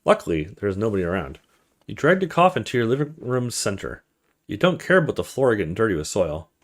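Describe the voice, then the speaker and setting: A man's voice: lowish voice, very animated, quick pace. A man hosting a let's play, reading horror story text aloud with dramatic delivery and casual asides in between.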